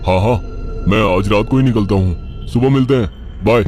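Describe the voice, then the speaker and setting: A man's voice: lowish voice, moderate pace, a little mellow, faint music behind, voice pushed up, natural voice. A man voicing a casual, easily exasperated young boyfriend in a horror cartoon, speaking colloquially. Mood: agreeable. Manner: colloquial, muttering. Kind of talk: Hindi horror cartoon dubbing.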